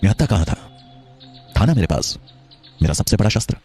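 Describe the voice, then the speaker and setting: Man acting a proud, husky scholar-sage. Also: lowish voice, very animated, brisk, a little mellow, soft background music, full character voice. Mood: calm, confident. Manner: earnest, formal, declamatory. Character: proud, principled young scholar, later a resolute teacher.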